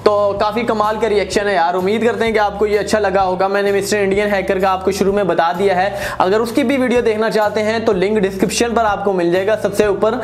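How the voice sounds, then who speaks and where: A man's voice: sits high, steady tone, quick pace, few pauses. A man in his twenties from Pakistan with a Pakistani accent, chatting casually and enthusiastically to camera as a video host, often repeating himself. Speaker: video host doing experiments. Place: indoors, plain-walled room. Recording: music in the background. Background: music.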